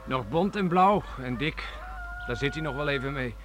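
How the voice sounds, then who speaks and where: A man's voice: mid-range voice, animated, moderate pace, close to an everyday voice. An actor playing a stubborn, principled sixteen-year-old son in a radio drama, earnest in his way of speaking. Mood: calm. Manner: earnest, hesitant yet defiant. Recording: faint background noise on old radio recording.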